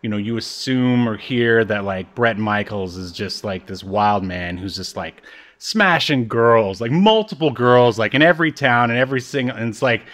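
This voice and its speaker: A man's voice: medium pitch, expressive, moderate pace, fairly bright tone. A man co-hosting a podcast, chatting casually and comedically with a joking, sarcastic way of talking. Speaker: podcast co-host. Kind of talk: casual comedic chat about a reality TV show.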